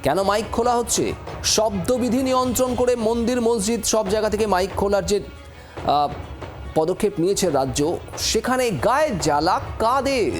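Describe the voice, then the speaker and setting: High-pitched male Bengali news anchor over music. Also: animated, moderate pace. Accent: Bengali, West Bengal. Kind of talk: news presenting with rhetorical questions. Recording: music bed behind voice.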